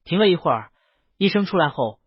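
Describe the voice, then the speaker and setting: A man's voice: high voice, sing-song, a little fast, slightly rough, no room sound, muffled recording. A man reads a scripted story as an audiobook narrator, voicing the dialogue himself.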